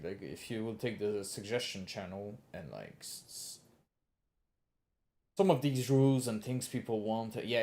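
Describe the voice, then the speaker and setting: A man with a Quebec French accent, a native French speaker, chatting casually on a livestream in a halting way as he searches for words. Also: lowish voice, mellow, slight background noise. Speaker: game server developer and livestreamer. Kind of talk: casual livestream chat while gaming.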